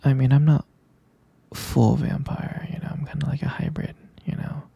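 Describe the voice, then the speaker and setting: A man playing a gentle, reassuring young vampire boyfriend in an intimate sleep-aid ASMR roleplay, murmuring tenderly and hesitantly in a breathy, husky whisper. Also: medium pitch, flat delivery, moderate pace, lo-fi sound, exaggerated voice.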